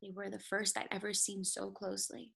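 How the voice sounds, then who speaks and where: A woman's voice: medium pitch, monotone, brisk, gravelly, a bit bright, husky, exaggerated voice. A woman acting a kind, headstrong young lady of a wealthy house, sheltered and earnest, in a play reading.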